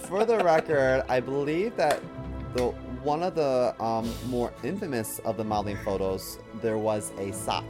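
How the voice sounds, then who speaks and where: A man's voice: mid-range voice, moderate pace, over music. A man in his thirties co-hosting a podcast with casual, bawdy banter and playful exaggeration. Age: 30s. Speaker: podcast co-host.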